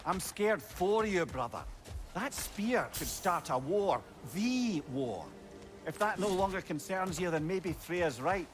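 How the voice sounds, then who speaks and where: An actor playing a wry, talkative old sage companion in a video game, eloquent and musing with a Scottish accent. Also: male voice, high voice, animated, takes it slowly. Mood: grave, worried. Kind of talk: video game character acting.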